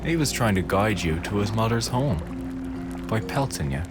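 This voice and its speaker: An actor playing a loyal, protective, anxious soldier with an earnest, concerned way of talking in a video game drama. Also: male voice, on the low side, lively intonation, normal speed, natural voice. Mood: caring, earnest. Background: music.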